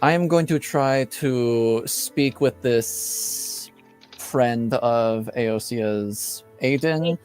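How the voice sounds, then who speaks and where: A man voicing a calm, assured male mage in a tabletop game, measured and reassuring as he explains things. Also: medium pitch, clear, resonant tone, soft background music.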